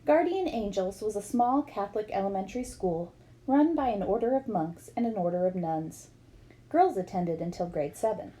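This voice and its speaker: A woman's voice: mid-range voice, lively intonation, normal speed, dark tone, rough recording. A woman reading a memoir aloud as scripted storybook narration. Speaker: reader of a book aloud.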